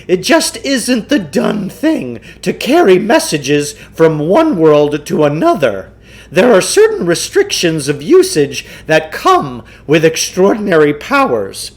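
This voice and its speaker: A man reading a children's novel, voicing a pompous, theatrical, eccentric salesman who lectures with deliberate self-importance. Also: sits high, full character voice. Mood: grandly secretive. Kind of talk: children's novel read aloud in character.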